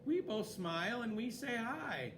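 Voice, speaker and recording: A man reading a children's story aloud in an expressive, dramatic way, with swooping emphasis. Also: extremely high pitch, unhurried, background noise. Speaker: story reader.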